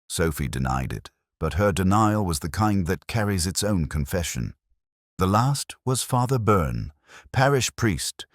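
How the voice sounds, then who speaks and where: Very deep, brooding detective played by a man. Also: no echo, clean studio sound, exaggerated voice. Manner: literary, measured, solemn, formal. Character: brooding older male detective, erudite, melancholy, suspicious. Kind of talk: scripted first-person mystery narration, audio drama.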